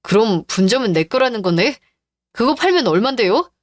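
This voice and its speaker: A woman's voice: on the high side, sing-song, normal speed, dry recording. A woman voicing a weak, pleading middle-aged cheating husband in a radio drama, with a whining manner. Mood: bewildered. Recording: dry studio voice-over with faint music.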